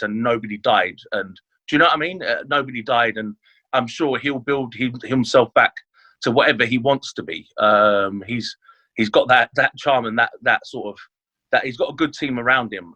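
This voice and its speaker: Bright-voiced man, halting speech. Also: mid-range voice, low-quality recording. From born in Leeds, grew up in London. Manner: halting, many fillers and restarts. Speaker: chef, podcast guest. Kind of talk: casual interview chat.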